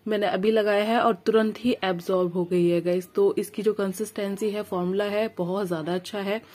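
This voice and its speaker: A woman's voice: mid-range voice, steady tone, brisk, darkish tone. Indian woman vlogger with a cold. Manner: chatty explainer, mixing in English phrases. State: has a cold. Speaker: beauty vlogger reviewing skincare. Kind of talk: casual product review to camera.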